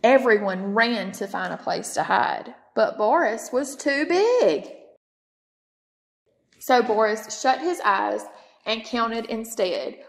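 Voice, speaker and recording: A woman's voice: a little high, animated, slow pace, gravelly. A woman reading a children's picture book aloud as a storytime narrator. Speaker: storytime reader.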